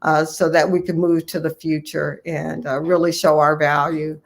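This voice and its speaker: A woman's voice: low voice, flat delivery, moderate pace, creaky. An American woman speaks as a physician guest in an interview. Her delivery is conversational but earnest and emphatic, and she repeats key phrases to drive them home. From United States, Ohio. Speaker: physician guest and medical association leader.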